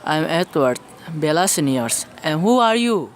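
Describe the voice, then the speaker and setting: Woman playing earnest, low-voiced young man. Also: big pitch swings, a little slow, very noisy. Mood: puzzled. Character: earnest young man, older brother, confessing love. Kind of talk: amateur radio play acting.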